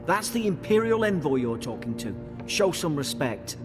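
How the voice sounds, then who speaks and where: Wary, higher-pitched loyal soldier. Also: male voice, very animated, normal speed. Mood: firm, defensive. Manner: earnest, cautious. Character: loyal, wary male imperial soldier companion. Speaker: game character. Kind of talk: video game dialogue acting. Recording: orchestral game music behind the voice. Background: loud music.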